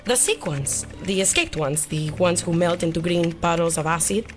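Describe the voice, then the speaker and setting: An actress playing a brash, sarcastic young hacker, snappy and blunt, in an audio drama. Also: female voice, on the low side, animated, moderate pace, over music, natural voice. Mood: threatening, wary.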